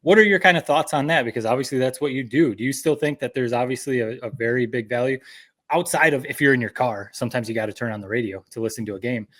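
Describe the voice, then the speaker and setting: A man's voice: medium pitch, fast, fairly bright tone. An American man co-hosting a podcast, chatting casually and taking his time to build up to questions. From mid-Michigan, United States. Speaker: podcast co-host. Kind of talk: casual interview chat.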